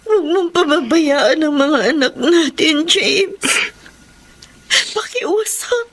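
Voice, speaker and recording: A woman's voice: high voice, lively intonation, slow, full character voice. An actress playing a despairing, pleading Filipino mother in a drama, crying as she speaks. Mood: tearful, pleading. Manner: tearful, pleading. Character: despairing imprisoned young mother, pleading wife.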